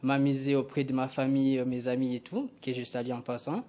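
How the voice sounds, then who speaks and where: Flat-voiced young man, hesitant. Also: mid-range voice, a little fast. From Kinshasa, DR Congo. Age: young adult. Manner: hesitant, many filler sounds. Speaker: guest, graduate student. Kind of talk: interview answers.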